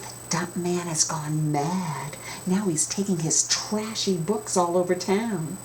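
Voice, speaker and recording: A woman's voice: fairly low pitch, steady tone, takes it slowly, a little mellow, a little hiss or hum. A woman voicing fussy, disapproving small-town grown-ups in a children's storybook, complaining and scolding. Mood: scornful.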